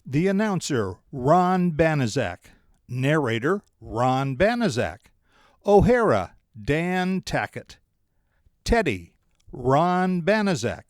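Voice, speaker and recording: A man's voice: fairly high pitch, sing-song, slow, no room sound. A man working as an announcer, formally reading out the cast credits of a radio play. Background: music.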